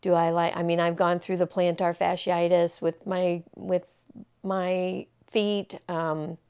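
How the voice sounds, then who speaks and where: A woman's voice: on the low side, little pitch movement, takes it slowly, a little mellow, smooth. A woman in her sixties talking casually to camera, rambling and reflective, with many fillers and restarts. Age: 60s. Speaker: vlogger. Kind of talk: casual personal talk to camera.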